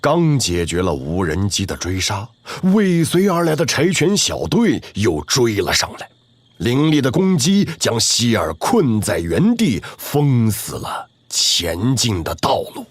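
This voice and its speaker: A man delivering scripted dramatic narration of an animated story. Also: fairly low pitch, a little slow, slightly rough, no echo.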